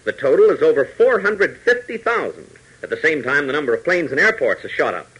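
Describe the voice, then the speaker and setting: A man's voice: fairly high pitch, big pitch swings, fairly quick, a bit bright, slightly rough, rough recording. An American man narrating a 1940s radio adventure serial in a dramatic, emphatic announcer style.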